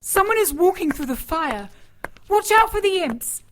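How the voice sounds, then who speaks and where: An actress playing an investigator's anxious, bewildered companion in an audio drama, with urgent questioning. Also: female voice, very high voice, big pitch swings, moderate pace, fairly bright tone, heavily acted. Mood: tense. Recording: prominent music behind.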